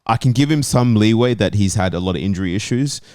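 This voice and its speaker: A man's voice: lowish voice, expressive, quick pace, a little mellow, dry recording, studio quality. A man talking casually as a football panellist, giving his opinion in a debate.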